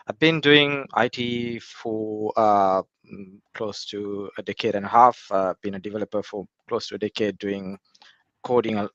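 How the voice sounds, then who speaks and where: A man's voice: lowish voice, a bit bright, no room sound. A man, chatting as an interview guest and hesitating with frequent fillers and restarts. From Sri Lanka. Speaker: guest, cloud architect. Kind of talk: casual interview chat. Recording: dry, slightly muffled.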